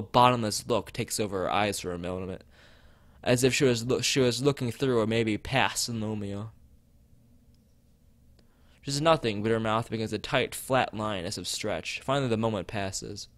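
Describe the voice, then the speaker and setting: A man's voice: fairly low pitch, moderate pace, dry recording. A man streaming as he reads a visual novel aloud and chats with viewers, often stumbling and rereading phrases. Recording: background music behind voice.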